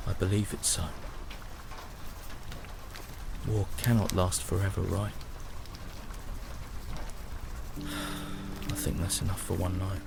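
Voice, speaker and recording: An actor playing a weary young British soldier of the First World War in a sombre, reflective audio drama monologue. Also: male voice, deep voice, creaky, very noisy. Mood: weary, resigned. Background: prominent music.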